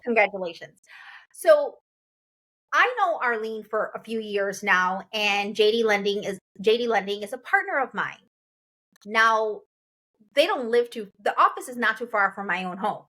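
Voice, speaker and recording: A woman's voice: medium pitch, normal speed. A woman in her forties from Chicago, hosting a casual interview chat and often echoing her guest's words. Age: 40s. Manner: lively, repeats and echoes her guest. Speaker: host.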